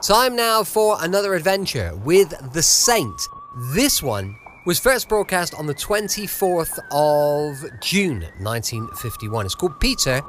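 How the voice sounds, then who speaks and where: A man's voice: a little high, big pitch swings, a little slow, a bit bright, slight background noise. A British man hosting a podcast with a chatty, welcoming introduction. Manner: informal, welcoming. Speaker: podcast host. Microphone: close microphone, dry.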